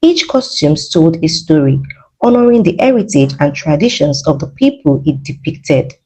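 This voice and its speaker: A woman's voice: deep voice, sing-song, moderate pace, noisy background. A woman reading scripted documentary narration in a polished, dramatic way, as a reporter.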